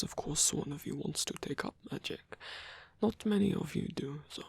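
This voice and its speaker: A man voicing a lonely old male vampire in an ASMR roleplay, gentle, rambling and bookish, with a soft, breathy, creaky voice and a halting, musing delivery. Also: a little high, dry recording, heavily acted. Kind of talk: ASMR roleplay audio addressed to the listener.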